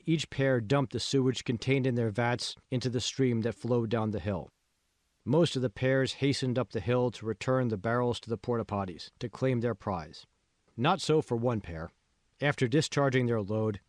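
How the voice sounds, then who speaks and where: A man's voice: mid-range voice, a little mellow, no room sound, clean studio sound. A man reading scripted fiction as the narrator of an audiobook. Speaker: author reading own audiobook.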